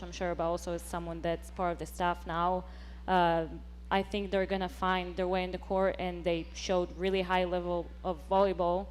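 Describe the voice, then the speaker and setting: A woman's voice: on the low side, slight background noise. A young woman in her twenties, a volleyball coach, talking upbeat and enthusiastic in a casual interview.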